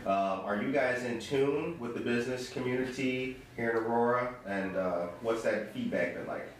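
A man's voice: mid-range voice, normal speed. A man hosting a casual interview, making small talk and asking his guests questions. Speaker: interview host. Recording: very echoey room, distant mic, faint noise.

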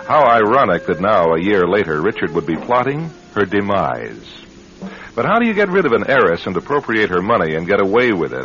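A man hosting and narrating a radio drama in a theatrical, suspenseful scripted style. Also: on the low side. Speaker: narrator and host. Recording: dull radio recording with music.

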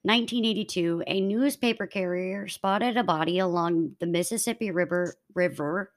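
A woman's voice: fairly low pitch, normal speed. A woman hosting a true crime podcast, narrating in an informal, conversational way between bits of casual chat.

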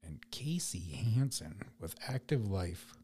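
A man hosting a podcast solo, with a raspy voice, chatting loosely and rambling in an unedited way. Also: on the low side, very animated, unhurried, mellow. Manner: rambling, loose, laid-back. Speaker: podcast host. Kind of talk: casual solo podcast chat.

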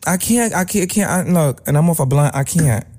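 A man's voice: on the high side, animated, a little fast, a little mellow. A man, a rapper guest talking in a laid-back, rambling, slangy way. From Brooklyn, New York. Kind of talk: casual interview chat.

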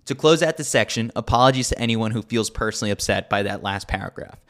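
A man's voice: fairly low pitch, fast talker, a bit bright. A man reading a scripted sports newsletter as a podcast host, with a wry, tongue-in-cheek manner.

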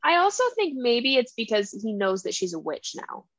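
Young woman, high voice, dry close sound, lively book chat, laughs a lot. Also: normal speed, no echo, lo-fi sound. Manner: animated, laughing, acts out characters' lines. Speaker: podcast co-host. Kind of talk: casual chat about books.

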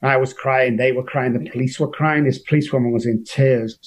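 A middle-aged man from Manchester speaks with a strong local accent at an ordinary pitch. He tells his story earnestly and emotionally, often stopping and restarting his sentences. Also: steady tone, fairly quick. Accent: Manchester English. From Manchester, England. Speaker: guest. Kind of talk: personal recovery story.

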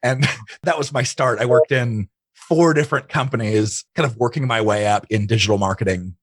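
A man's voice: medium pitch, moderate pace, breathy edge, dry recording. A man speaking as an interview guest, chatting casually and telling personal stories, often quoting his own thoughts. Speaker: interview guest, digital marketing entrepreneur.

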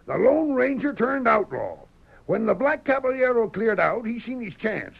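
Gravelly, high-pitched crooked western sheriff. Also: male voice, big pitch swings, moderate pace, heavily acted. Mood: insistent, sly. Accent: American rural western. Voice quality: raspy, creaky. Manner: folksy, blustering, accusatory. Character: crooked, blustering rural western sheriff, pushy and scheming. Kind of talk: old-time radio western acting. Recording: muffled old radio broadcast.

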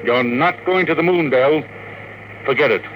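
A man's voice: medium pitch, normal speed, a bit bright, lightly acted. An actor playing a blunt, hard-headed businessman in a classic radio drama. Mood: firm, exasperated. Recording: noisy old radio broadcast.

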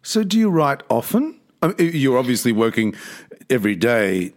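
A man hosting a podcast, talking in a slow, thoughtful, reflective way. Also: medium pitch, sing-song. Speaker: podcast host.

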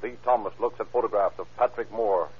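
A man's voice: fairly low pitch, brisk, slightly rough. An actor as the authoritative male narrator of an old-time radio crime drama, formal and clipped in delivery. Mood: grave, urgent. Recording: muffled vintage radio recording with music.

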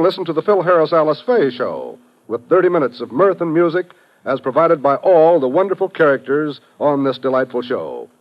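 An American man announcing in polished, emphatic 1950s network radio style on an old recording. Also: a little high. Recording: dull vintage radio recording.

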